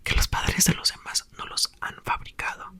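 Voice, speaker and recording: A man whispering a curious monster teen girl. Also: moderate pace. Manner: soft, whispered. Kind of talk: whispered ASMR reading.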